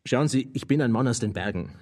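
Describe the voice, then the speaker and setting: An actor playing an anxious, folksy mountain-film director in a radio drama. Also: male voice, mid-range voice, lively intonation, fairly quick, darkish tone, lightly acted. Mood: anxious, insistent. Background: prominent music.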